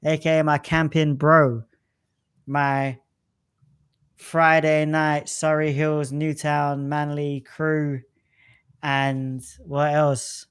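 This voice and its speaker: A man's voice: on the high side, level tone, extremely slow, pauses often, a bit bright, smooth. Chatty enthusiastic man hosting a podcast. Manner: chatty, enthusiastic, lots of "like" fillers. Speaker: podcast host. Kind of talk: casual interview chat.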